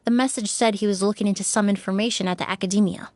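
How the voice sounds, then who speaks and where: A woman voicing a composed, courteous young investigator in a video game, speaking in a measured, precise and polite way. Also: mid-range voice, fairly even intonation, darkish tone, dry recording, natural voice. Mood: measured, analytical. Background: background music.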